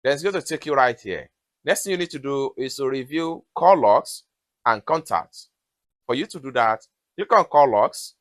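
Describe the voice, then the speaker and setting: Guy doing a how-to voiceover, medium pitch, step by step instructions, really dry close recording. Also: animated, moderate pace, dry recording. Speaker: tutorial host. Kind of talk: step-by-step phone tutorial narration.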